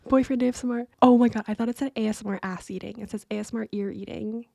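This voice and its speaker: A woman's voice: on the high side, fairly even intonation, very fast, creaky, warm tone, dry recording, studio quality. A woman co-hosting a podcast in casual comedic chat, playful and blunt, reacting with exclamations. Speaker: podcast co-host.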